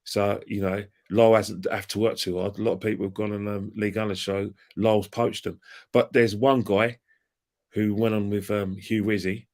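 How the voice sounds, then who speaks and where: A low-voiced man rants in a heated, casual chat. His voice sits deep, and he throws in colourful insults as he goes on at length. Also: moderate pace. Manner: animated, ranting, colourful insults. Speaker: fan channel guest.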